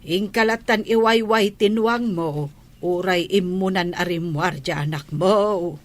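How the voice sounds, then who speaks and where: Woman playing a slow-talking, nagging village mother. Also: fairly low pitch, close to an everyday voice. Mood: scolding. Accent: Ilocano. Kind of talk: Ilocano comedy radio drama acting.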